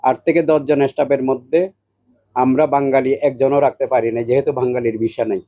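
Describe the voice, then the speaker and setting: Mellow-voiced man giving an interview. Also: mid-range voice, fairly even intonation, moderate pace, breathy edge, dull audio. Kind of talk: interview statement.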